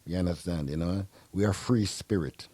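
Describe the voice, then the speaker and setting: A man's voice: sits low, normal speed, dark tone, slightly rough, no room sound. Laid-back Jamaican man hosting radio. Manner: laid-back, rambling, peppers speech with "you know". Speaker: radio show host. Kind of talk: casual radio talk. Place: radio studio.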